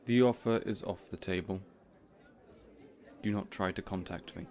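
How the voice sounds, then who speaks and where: A man playing a cold, contemptuous supervillain in an audio roleplay, speaking in a haughty, deliberate, threatening manner. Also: low voice, natural voice. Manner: menacing, slow, haughty, deliberate.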